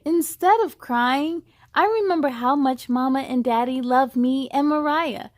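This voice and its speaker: A woman reading as an earnest young girl in a children's storybook, slowly and expressively. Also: high-pitched, no room sound, clean studio sound. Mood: earnest, tender. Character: young girl narrator learning to manage anger. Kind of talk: children's read-aloud in first person.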